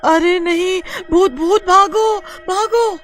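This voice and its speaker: A woman voicing a terrified, panicky child in an animated horror story. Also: very high-pitched, slow, soft background music, voice pushed up, heavily acted. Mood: panicked. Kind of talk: Hindi animated story acting.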